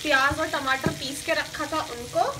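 A woman with a high voice explains a home recipe step by step, steady and instructional, often going over a step twice. Pans sizzle and spoons stir through clear kitchen noise behind her. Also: unhurried. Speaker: cooking channel host. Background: sizzling and stirring in a pan.

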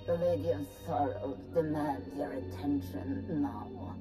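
A woman voicing a cool, commanding female alien envoy in a video game, formal and measured. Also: sits low, steady tone, music in the background. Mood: stern. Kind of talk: video game cutscene acting.

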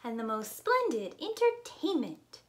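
Woman voicing an excitable high-pitched little squirrel. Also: measured pace, a little hiss or hum. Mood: eager. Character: excitable, eager little squirrel, storybook animal.

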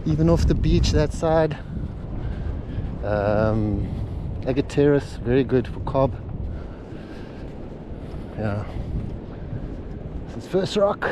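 A man casually talking through a fishing walk along a rocky beach, relaxed and halting with lots of fillers, filmed on a body-worn camera outdoors. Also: medium pitch. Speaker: fishing vlogger. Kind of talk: casual walking commentary. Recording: outdoor camera audio with wind and surf noise. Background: crashing waves and wind.

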